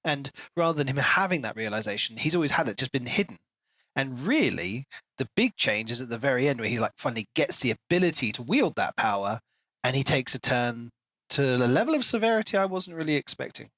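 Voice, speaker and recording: A man's voice: medium pitch, sing-song, a little fast, fairly bright tone, no echo, low-quality recording. A man co-hosting a podcast, chatting analytically and conversationally about a book. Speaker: podcast co-host. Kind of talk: casual book discussion.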